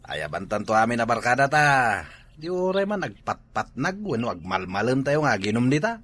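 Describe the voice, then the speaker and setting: A man's voice: mid-range voice, big pitch swings, moderate pace, fairly bright tone. A man with an Ilocano accent playing a carefree, stubborn younger brother in a comedy drama, boastful and fond of drinking. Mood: cheerful boasting. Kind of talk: Ilocano comedy radio-style drama acting.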